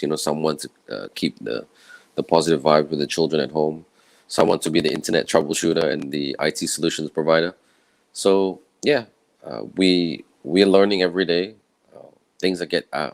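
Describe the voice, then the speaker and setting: Man, very low voice, tired and croaky, candid, rambling, on a video call. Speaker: summit speaker. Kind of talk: personal talk on video call.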